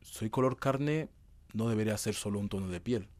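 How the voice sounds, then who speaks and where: A man's voice: fairly low pitch, normal speed, darkish tone. A young man, giving conversational answers as an interview guest. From Madrid, Spain. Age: young adult.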